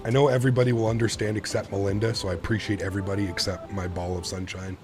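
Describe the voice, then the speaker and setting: A man's voice: fairly low pitch, level tone, racing, over music. A man in his thirties from Canada live streaming a flight simulator, chatting casually with viewers while straining a sore throat. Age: 30s.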